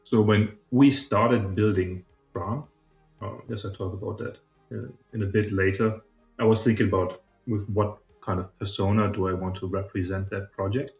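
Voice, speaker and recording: A man's voice: on the low side, steady tone, normal speed, gravelly, a little mellow, roomy sound, soft background music. A man from southern Germany, giving casual, reflective answers as a podcast guest. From southern Germany, partly grew up in China. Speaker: podcast guest, startup founder.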